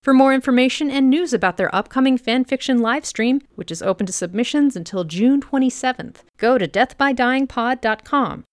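A woman's voice: sits high, lively intonation, fairly quick, hardly any pauses, dry recording, studio quality. A woman hosting a podcast, presenting in a scripted style. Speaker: podcast host.

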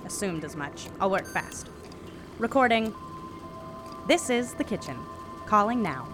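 A woman's voice: mid-range voice, animated, unhurried, over music, lightly acted. A woman playing an earnest, methodical sound recordist in an audio drama, speaking in a clipped, matter-of-fact, pedantic way. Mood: businesslike.

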